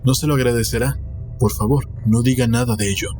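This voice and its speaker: Male reader as proud delusional gentleman, formal, grandiose, secretive, audiobook tale, clear music underneath. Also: medium pitch, fairly even intonation, a little fast, close to an everyday voice. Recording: music behind the reading.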